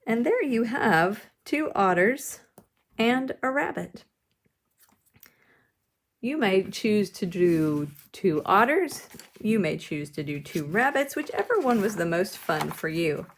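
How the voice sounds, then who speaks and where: A woman leading a drawing lesson, explaining each step simply and with gentle encouragement, as if to children. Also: medium pitch, big pitch swings, slow, a little hiss or hum. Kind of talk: instructional demonstration, step-by-step.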